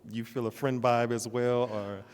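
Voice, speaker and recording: A man's voice: medium pitch, flat delivery, moderate pace, smooth. A man hosting a dating game show, upbeat and keeping things moving on a handheld mic. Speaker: host. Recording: echoey hall.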